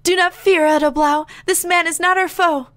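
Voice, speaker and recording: A woman's voice: very high-pitched, steady tone, moderate pace, fairly bright tone. A woman voicing a melodramatic, deluded bird schoolboy in a visual novel, declaiming grandly and urgently. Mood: urgent, theatrical. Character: melodramatic male bird schoolboy with apocalyptic delusions.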